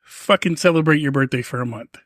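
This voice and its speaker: A man's voice: mid-range voice, brisk, fairly bright tone, no room sound, studio quality. A man in his fifties co-hosting a podcast, talking in a laid-back, rambling way, swearing often and restarting his sentences. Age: 50s. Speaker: podcast co-host. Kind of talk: casual chat.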